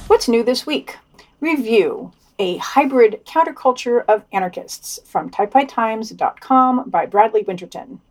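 A woman's voice: fairly high pitch, animated, unhurried, a little mellow, faint music behind. Female podcast news reader, raised register, scripted delivery with occasional editorial asides.

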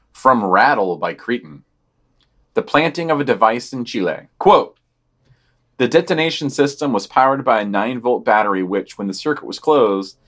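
A man co-hosting a podcast, reading quoted news items and giving deadpan, critical comments. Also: lowish voice. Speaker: podcast co-host.

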